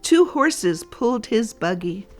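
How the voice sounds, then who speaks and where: A woman reading a storybook aloud for children, deliberately and addressed straight to young listeners. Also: on the high side, sing-song, faint music behind.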